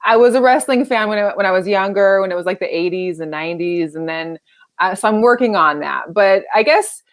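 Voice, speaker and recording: A woman co-hosting a casual sports chat, asking halting questions with repeated words. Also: medium pitch. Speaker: co-host.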